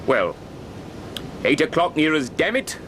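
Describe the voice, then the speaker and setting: Plain-spoken sea captain, raised pitch. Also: male voice, very animated, a little slow, fairly bright tone, slight background noise. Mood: curt. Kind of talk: radio drama acting.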